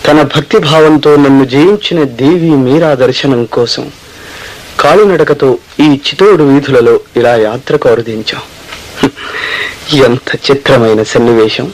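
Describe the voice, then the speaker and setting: A man's voice: mid-range voice, measured pace, lightly acted. A man playing a reverent, noble Mughal emperor in a radio play, speaking in formal, declamatory style. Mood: reverent, reflective. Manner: formal, declamatory, grandly literary phrasing. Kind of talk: Telugu radio play acting. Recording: noisy old radio play recording.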